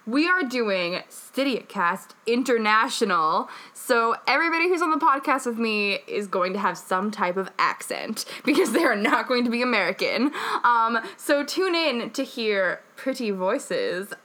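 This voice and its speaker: A woman's voice: on the high side, big pitch swings, unhurried, bright, forceful tone. A woman from Massachusetts with a New England accent, hosting a casual podcast chat, blunt and swearing freely. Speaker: podcast host.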